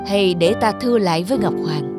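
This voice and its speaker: A man's voice: sits high, lively intonation, fairly quick, over loud music. A man playing an old rain god, a loving and worried father in a cartoon fairy tale. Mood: sad, worried. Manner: doting, sorrowful. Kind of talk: animated fairy tale acting.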